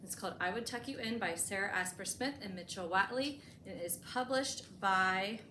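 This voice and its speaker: An echoey room with clear background noise surrounds a woman hosting storytime. She has a medium-pitched voice and reads expressively for young listeners, leaning on key words. She moves between reading and simple explanations. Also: normal speed, sharp tone. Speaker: librarian hosting a bedtime storytime. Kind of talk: picture-book read-aloud with explanatory asides for children.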